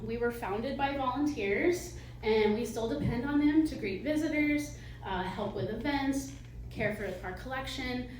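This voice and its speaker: A soft-spoken woman, a museum director, giving a live fundraising pitch with nervous little laughs and many ums. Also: slight background noise. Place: meeting hall with audience. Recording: echoey hall from far off.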